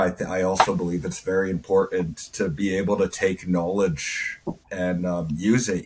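Low, husky man chatting casually. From Calgary, Canada. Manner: informal, hesitant, says "man" often. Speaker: roundtable guest, IT technician. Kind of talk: casual chat, self-introduction.

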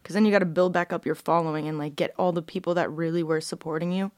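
A woman's voice: lowish voice, steady tone, fast talker, a little mellow, no echo, clean studio sound. A woman born in Russia, a guest on a podcast, chatting in a laid-back way and swearing casually. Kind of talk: casual interview chat.

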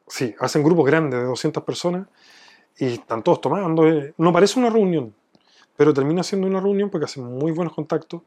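A man's voice: fairly high pitch, brisk, darkish tone. A man from Chile with a Chilean accent, explaining things from his own experience in a conversational interview. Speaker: business owner being interviewed.

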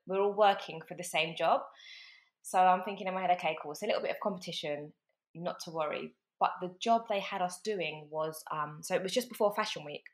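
A young London woman with a London accent and a lowish voice, chatting as an interview guest. She tells stories in a lively, animated way, full of fillers and quoted thoughts, recorded over a remote call. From London, England. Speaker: football agent, guest. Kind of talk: casual storytelling interview.

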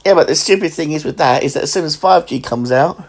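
Brief: man, forty, chatty podcast host, gravelly and a bit husky. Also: fairly high pitch, fast. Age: 40s. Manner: chatty, laughs often. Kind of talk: casual chat.

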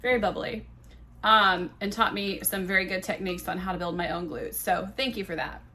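A woman in her thirties hosts her own podcast with a big, bright, forward voice. She talks in a loose, chatty way, full of quoted back-and-forth and casual swearing. Her tone stays self-mocking and frank. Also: medium pitch, steady tone, a little fast, slight background noise. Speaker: podcast host. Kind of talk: casual solo podcast storytelling.

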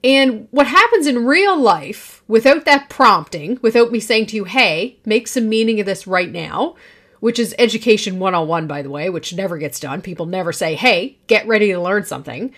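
A woman's voice: medium pitch, animated, moderate pace, a bit bright. A woman in her forties hosting a solo podcast, talking in a confident, projecting and emphatic way. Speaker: podcast host.

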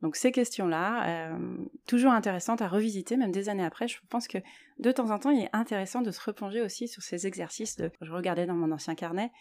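A woman talks by herself as a podcast host, in a mid-pitched, mellow voice. She is reflective and a little hesitant, working out her thoughts aloud as she goes. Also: quick pace. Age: 30s or older. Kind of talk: reflective solo podcast talk on writing.

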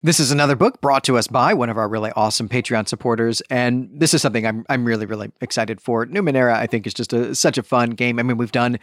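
A man's voice: mid-range voice, fast talker, few pauses, dry recording, studio quality. A man hosting a book review podcast, speaking in an emphatic, opinionated and conversational way. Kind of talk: podcast book review, reading passages and commenting.

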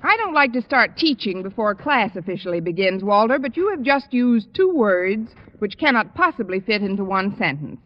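An actress playing a wry, wisecracking English teacher with a dry, arch manner in a radio sitcom. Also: female voice, mid-range voice, sing-song, moderate pace, few pauses, close to an everyday voice. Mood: wry, teasing. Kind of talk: 1940s-50s radio sitcom acting. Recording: muffled, very noisy old radio, studio audience.

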